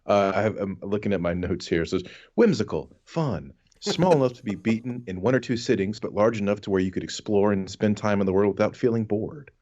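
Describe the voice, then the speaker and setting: A man on a podcast panel giving a casual, halting game review, often repeating his words. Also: fairly low pitch, a little mellow. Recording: dull, band-limited voice audio.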